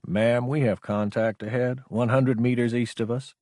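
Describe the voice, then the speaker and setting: A man voicing a calm, analytical army lieutenant in an audiobook, with clipped, matter-of-fact military speech. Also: mid-range voice, moderate pace, no room sound, clean studio sound, close to an everyday voice. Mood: calm, businesslike.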